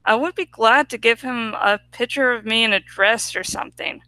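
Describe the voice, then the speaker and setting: A woman reading a first-person story aloud as an expressive audiobook narrator. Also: on the high side, moderate pace, dry recording, poor audio. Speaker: audiobook reader.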